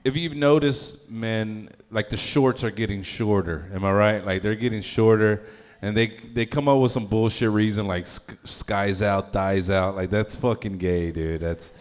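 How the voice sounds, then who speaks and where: Low-voiced man doing crude stand-up. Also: moderate pace, smooth. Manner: crude, rambling, laughs at his own jokes; throws in lots of fillers like uh and um. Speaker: stand-up comedian. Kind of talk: stand-up comedy set.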